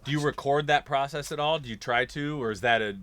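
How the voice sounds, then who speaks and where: A man's voice: medium pitch, fairly quick, a bit bright, no echo. An upbeat, joking man from Kansas hosting a casual chat in a recording studio. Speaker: host.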